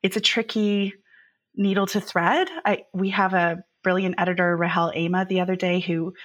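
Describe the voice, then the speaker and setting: A woman's voice: lowish voice, flat delivery, moderate pace, fairly bright tone, highs cut off. A woman publisher interviewing a guest, circling her questions with hesitations. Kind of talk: conversational interview.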